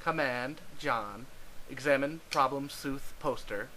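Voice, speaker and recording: A man's voice: mid-range voice, slow pace, sharp tone, slight background noise, low-quality recording. A man voicing a terse commander who issues clipped orders in a dramatised web comic reading. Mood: curt, commanding. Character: terse commanding voice issuing text-game orders.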